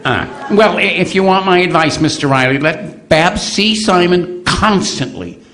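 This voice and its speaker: A man's voice: sits high, lively intonation, a little slow, a bit bright. An actor playing a fussy, meek, ailing little neighbor man with a hesitant, stammering delivery, in old-time radio comedy style. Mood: earnest advising. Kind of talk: 1940s radio comedy acting.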